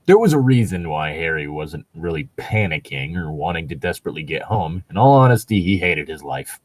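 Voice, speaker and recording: Animated, husky man mocking fan fiction. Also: deep voice, brisk, no echo. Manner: sweary, mocking, exasperated. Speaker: podcast co-host. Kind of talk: casual comedic commentary while reading fan fiction aloud.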